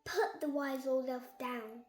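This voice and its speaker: A woman's voice: high-pitched, steady tone, slow pace, a little mellow, reverberant. A young girl voicing an eager, plain-spoken young elf boy in a read-aloud storybook. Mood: commanding. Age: child, about 6.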